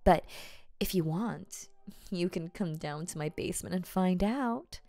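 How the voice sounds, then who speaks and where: Woman acting a slow-talking, doting, possessive rich girlfriend. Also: fairly low pitch, warm tone, no room sound. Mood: teasing, doting. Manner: coaxing, teasing, halting playful asides. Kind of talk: ASMR audio roleplay, spoken straight to the listener.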